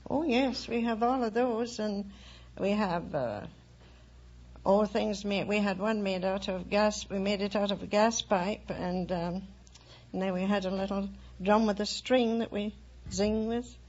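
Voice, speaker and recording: A woman's voice: mid-range voice, steady tone, a little slow, darkish tone. A middle-aged American woman chatting casually as a quiz show contestant. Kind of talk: casual interview chat. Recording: muffled, hissy vintage radio broadcast.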